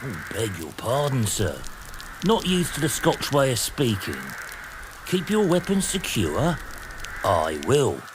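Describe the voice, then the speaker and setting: A sly English guard. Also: male voice, mid-range voice, slow. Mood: sly, polite. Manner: deferential yet sly. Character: officious English gate guard. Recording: steady background noise.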